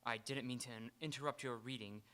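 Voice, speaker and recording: A man on stage plays a wry working writer in a live radio play. His mid-pitched voice is bright and forceful. He is a little hesitant and awkward among his betters. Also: fairly even intonation, fairly quick, close to an everyday voice. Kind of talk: live radio theatre acting.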